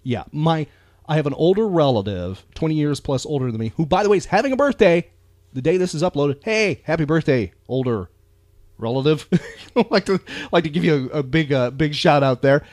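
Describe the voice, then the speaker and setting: Male podcast host, American accent, lively swooping intonation, folksy, rambling, dry close recording. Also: fairly high pitch, brisk, dry recording. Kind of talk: casual chatty podcast talk.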